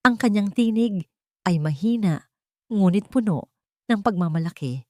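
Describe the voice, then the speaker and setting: A woman's voice: mid-range voice, animated, measured pace, mellow, dry recording, studio quality. A woman reading a scripted, sentimental love story as its storyteller.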